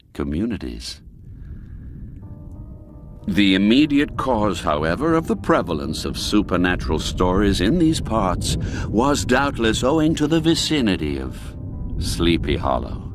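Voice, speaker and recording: A man narrates an old folk mystery tale in a low voice that rises and falls widely. He takes his time with each phrase, telling it with measured expression. The recording is very dry and close. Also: no room sound. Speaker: story narrator.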